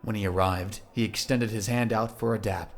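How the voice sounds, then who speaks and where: A man's voice: fairly low pitch, fairly even intonation, normal speed. A man narrating a scripted audio drama in a dramatic storytelling style.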